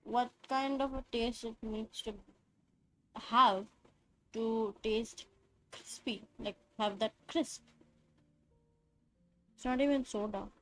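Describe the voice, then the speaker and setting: A woman's voice: fairly high pitch, fairly even intonation, takes it slowly, pauses often, rough recording. A woman with an Indian accent giving casual game livestream commentary, slangy, teasing and joking as she reacts.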